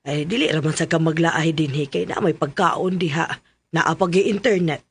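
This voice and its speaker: A man's voice: on the high side, fairly even intonation, normal speed, vocal fry, slightly rough. A man playing a strict, bossy middle-aged aunt with a Cebuano Filipino accent, in radio drama style. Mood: firm, persuading.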